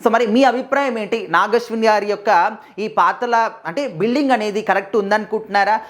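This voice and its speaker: A woman's voice: mid-range voice, fast talker. A woman presenting entertainment news commentary in an emphatic, conversational explainer style, often repeating her phrasing. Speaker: presenter of film news videos.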